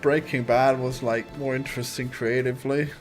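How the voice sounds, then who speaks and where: A man's voice: mid-range voice, flat delivery, normal speed, faint music behind. A man chatting on a casual podcast who makes dry, teasing interjections.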